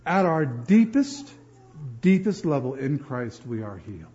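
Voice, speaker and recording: Animated male preacher, lo-fi sound. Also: medium pitch, unhurried. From New Jersey. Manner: animated, repeats words while building emphasis. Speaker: pastor. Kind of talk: sermon.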